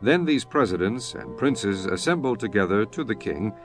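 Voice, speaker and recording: Male narrator, low voice, solemn dramatic scripture reading, lively intonation, music underneath. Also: moderate pace.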